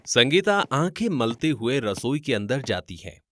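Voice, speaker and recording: A man's voice: medium pitch, sing-song, measured pace, a bit bright, faint music behind. A man narrating a scripted family story in a theatrical, rhetorical style.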